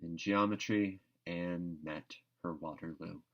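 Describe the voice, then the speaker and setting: A man with a fairly low voice reads a storybook aloud. His narration is expressive and theatrical, leaning on key words with emphatic phrasing. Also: slow, lo-fi sound. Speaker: audiobook reader.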